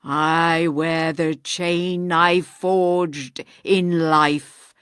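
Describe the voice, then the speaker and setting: A woman voicing a doomed, chained male ghost who speaks slowly and gravely in a mournful lament. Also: steady tone, fairly bright tone, dry recording, clean studio sound, exaggerated voice. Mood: solemn. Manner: slow, grave, booming lament. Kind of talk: storybook reading.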